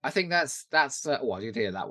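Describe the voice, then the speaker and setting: A man's voice: mid-range voice, lively intonation, fairly quick, fairly bright tone, no echo. A British man co-hosting a podcast, chatting casually about pop culture with giggly, gushing enthusiasm. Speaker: podcast co-host.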